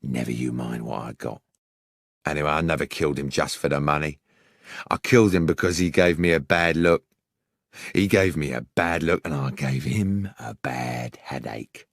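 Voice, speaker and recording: A man voicing a gruff, callous, boastful murderer from Victorian London in an audiobook, coarse and threatening. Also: rumbling low, moderate pace, no room sound, clean studio sound, pitched below the performer's own voice, heavily acted. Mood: menacing, boastful. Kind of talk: audiobook character acting.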